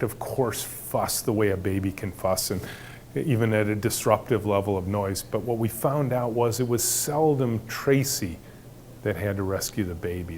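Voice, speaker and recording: A middle-aged man with a big booming voice, telling workplace stories to an audience and acting out the dialogue with animation. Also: slight background noise. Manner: animated storyteller acting out dialogue, some restarts. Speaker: company leader giving a talk. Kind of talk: storytelling talk to an audience.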